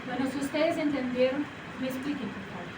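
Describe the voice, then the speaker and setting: An actress speaking straight to a theater audience in a chatty, playfully confused manner. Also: female voice, high voice, animated, fairly quick. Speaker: stage actor. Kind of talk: theatrical direct address to the audience. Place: small theater with a live audience. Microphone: far camera. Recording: echoey room, far camera, audience noise.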